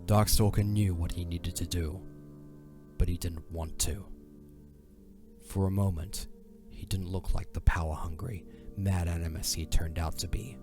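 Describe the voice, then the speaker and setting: A man giving a dramatic scripted narration in a solemn, measured way. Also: low voice, fairly even intonation, music in the background.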